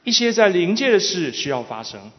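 A man's voice: high voice, sing-song, fairly quick. A man interpreting a sermon consecutively, delivering it phrase by phrase. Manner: follows the preacher phrase by phrase. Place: large reverberant hall. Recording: dull, reverberant large hall sound.

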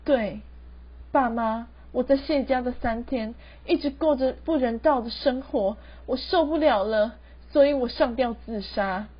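A woman's voice: fairly high pitch, measured pace, husky. A teenage girl with a Taiwanese Mandarin accent playing a wronged, emotional young ghost daughter in an amateur radio drama. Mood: sorrowful. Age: teens. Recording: dull lo-fi student recording.

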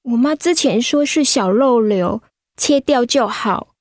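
A timid high school girl in a radio drama, speaking Taiwanese Mandarin in a high voice. She is anxious and hesitant, taking her words slowly. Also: animated, dry recording, studio quality. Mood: frightened, hesitant. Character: worried, timid seventeen-year-old high school girl.

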